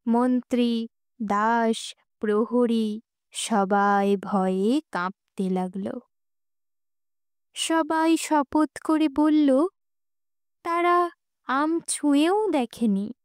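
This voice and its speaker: A woman narrating a scripted children's folk tale as an audiobook storyteller, with deliberate, expressive emphasis. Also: on the high side, clear, resonant tone, no echo, studio quality.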